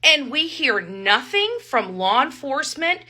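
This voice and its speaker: A woman's voice: high voice, sing-song, a little slow, sharp tone. A woman giving casual online commentary, emphatic and opinionated.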